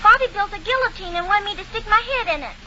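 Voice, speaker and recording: Very high-pitched, prim little child. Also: female voice, expressive, fast talker. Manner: stilted, formal recitation. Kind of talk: 1940s radio comedy acting. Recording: very noisy, muffled 1940 radio broadcast.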